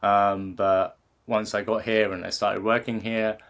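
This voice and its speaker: A man's voice: lowish voice, flat delivery, unhurried, fairly bright tone. A man in his thirties with a British accent, a guest in a casual interview who talks with many fillers and restarts. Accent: British English. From England. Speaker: guest, creativity coach.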